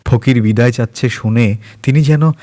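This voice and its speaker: A man narrating a scripted story with expressive, dramatic phrasing. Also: medium pitch, moderate pace. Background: faint background music.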